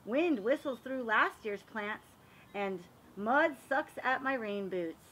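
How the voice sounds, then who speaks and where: A woman reads a picture book aloud for young children. Her voice is high and bright, with lots of melodic swing and an expressive, explanatory manner. There is faint noise in the background. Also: slow pace, low-quality recording. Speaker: read-aloud reader for children.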